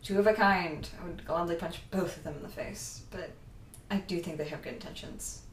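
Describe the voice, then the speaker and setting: A woman's voice: on the low side, normal speed, close to an everyday voice. Roomy, distant, dry-humored young woman played by an actress. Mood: awkward, sincere. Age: young adult. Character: guarded, blunt, dry young woman student vigilante. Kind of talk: naturalistic audio drama dialogue. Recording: roomy, distant mic, faint noise.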